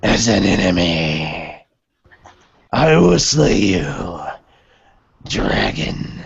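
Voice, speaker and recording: Someone doing a haughty demon bad guy from a manga dub, low and slow, kinda muffled lo-fi audio. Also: sing-song, gravelly, husky, heavily acted. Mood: cold menace. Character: arrogant demon villain, cold and disdainful toward humans.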